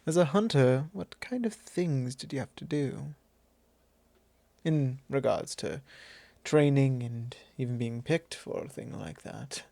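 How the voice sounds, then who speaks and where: A man playing a slow, creaky, suave vampire lord. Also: medium pitch, dark tone, no room sound. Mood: smooth, curious. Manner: measured, courtly, teasing. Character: suave, possessive male vampire lord, softly commanding. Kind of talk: ASMR roleplay, intimate.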